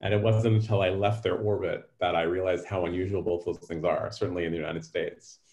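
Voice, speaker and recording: Musing man with a lowish voice. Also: steady tone, fairly quick, darkish tone. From California. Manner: musing, hesitant with fillers. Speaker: host, interviewer. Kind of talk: casual interview. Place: home room. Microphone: webcam on video call.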